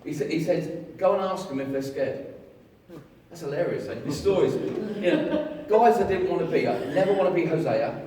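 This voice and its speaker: A man preaching a lively, jokey sermon, telling a story with voices and getting the congregation to join in. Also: on the high side, moderate pace. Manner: jokey, animated storytelling with audience participation. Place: large hall with an audience. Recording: echoey hall, far from mic, crowd.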